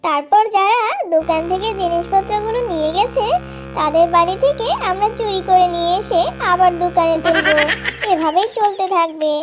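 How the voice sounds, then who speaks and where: A woman's voice: very high voice, normal speed, over music. A woman voicing a cunning young fox wife in a cartoon, speaking in an exaggerated, conspiratorial way. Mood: smug. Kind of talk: Bengali cartoon voice acting.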